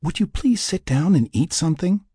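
A man's voice: fairly high pitch, wildly swooping pitch, normal speed, dark tone, slightly rough, no echo, clean studio sound, voice pushed up, exaggerated voice. A man voicing a pleading, good-humored, nagging woman crewmate in an audiobook. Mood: pleading, exasperated. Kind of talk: audiobook character dialogue.

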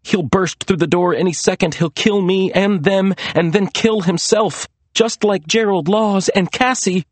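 A man's voice: sits high, moderate pace, few pauses, no room sound, pitched above the performer's own voice. A man voicing a frantic, commanding young woman on the run, speaking urgently in an audiobook story. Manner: urgent, breathless shouting and insisting.

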